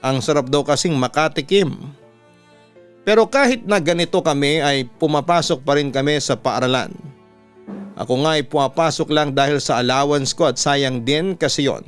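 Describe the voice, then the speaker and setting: A man with a Filipino accent reads a personal story in a radio announcer's dramatic style. His voice is smooth and resonant, pitched a little high for a man. Soft music plays underneath. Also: moderate pace. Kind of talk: dramatic first-person letter narration. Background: music bed.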